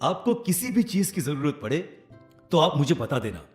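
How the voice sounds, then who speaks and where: A man's voice: on the high side, big pitch swings, normal speed, a little mellow, roomy sound, soft background music. A man playing a smitten, courteous young landlord's son in a cartoon moral story, polite and romantic. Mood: courteous, interested.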